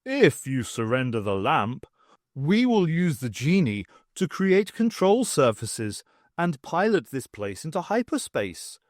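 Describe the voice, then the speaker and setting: A haughty alien commander, acted by a male audiobook reader, issues cold, formal orders. The delivery is imperious and expressive, with a dry sound. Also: fairly high pitch, measured pace, dry recording. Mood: coldly confident.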